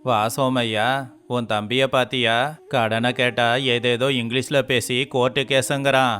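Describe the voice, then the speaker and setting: A man voicing a crooked, bullying village moneylender in an animated moral story, with a blustering, sly manner. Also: medium pitch, a little slow, natural voice.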